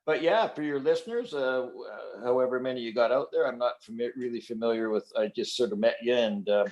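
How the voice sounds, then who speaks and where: A man's voice: medium pitch, fairly quick, darkish tone, dull audio. An older man chatting casually as an interview guest, rambling in a folksy, self-deprecating way about his hobby. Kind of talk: casual chat.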